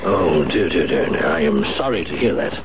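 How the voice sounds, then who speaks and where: An actor playing a solicitous, courtly professional gentleman in a 1970s radio drama, polite, fussy and hesitant. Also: rumbling low, animated, moderate pace. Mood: courteous, concerned. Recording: muffled, noisy 1970s radio broadcast.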